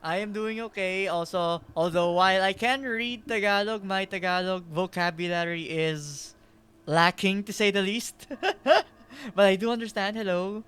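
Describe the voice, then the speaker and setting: A man's voice: high-pitched, moderate pace, fairly bright tone, clear, resonant tone. A young man from the Philippines with a Filipino accent, streaming a game and chatting in a rambling, self-deprecating way. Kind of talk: casual game-stream chat.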